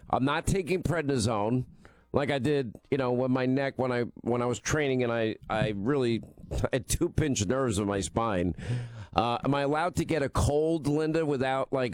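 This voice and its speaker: A middle-aged man hosting a talk radio show while he has a cold, talking in a nasal, stuffy voice with a chatty, defensive manner and quick asides. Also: medium pitch, no room sound. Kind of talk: casual on-air banter.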